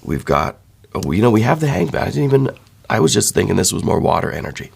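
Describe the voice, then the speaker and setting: A man with a hoarse voice, worn down by laryngitis, giving a soft, thoughtful ASMR tarot reading. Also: lowish voice, sing-song, fast. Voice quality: hoarse, breaking into whispers. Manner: soft, thoughtful, with many fillers and repeats. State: losing his voice from laryngitis. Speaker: tarot reader.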